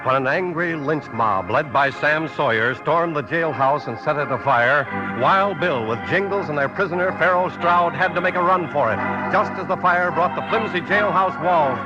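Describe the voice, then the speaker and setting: A man's voice: fairly high pitch, moderate pace, few pauses. A man narrating a drama as announcer in 1950s radio style. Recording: dull old radio recording. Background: loud dramatic music.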